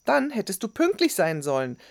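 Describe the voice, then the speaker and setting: A stern old grandmother, voiced by a woman narrator, raised in pitch above the storyteller's plain voice. She scolds sharply and forcefully, quick to anger, in a storybook style. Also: wildly swooping pitch, vocal fry, no room sound, heavily acted. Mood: annoyed, scolding. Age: older.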